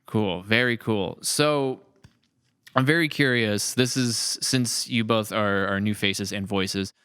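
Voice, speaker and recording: A man hosting a casual podcast interview, speaking in a reflective way with halting phrasing. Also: lowish voice, a bit bright, clean tone, dry recording, studio quality. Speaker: podcast host.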